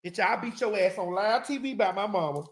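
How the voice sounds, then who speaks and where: A talk-show host ranting in heated, profane, emphatic casual talk. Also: female voice, medium pitch, a little fast, breathy edge.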